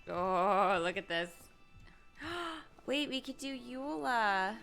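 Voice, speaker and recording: A woman's voice: fairly high pitch, lively intonation, very slow, gravelly, a bit bright, faint music behind. A woman game streamer giving casual playthrough commentary with playful, sarcastic asides.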